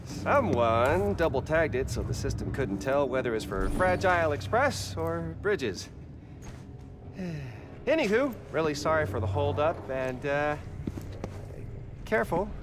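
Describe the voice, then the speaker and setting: An actor playing a chatty, apologetic delivery courier in a video game, with breezy, overly polite manners. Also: male voice, on the high side, sing-song, a little slow, pauses often, very noisy. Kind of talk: video game cutscene acting.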